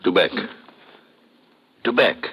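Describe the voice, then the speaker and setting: A man's voice: slow pace, pushed and bright, a little hiss or hum. A man playing a self-important, fussy fishing fleet owner, in an old radio drama. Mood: businesslike. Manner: fussy, complaining, repeats himself. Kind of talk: 1950s radio drama acting.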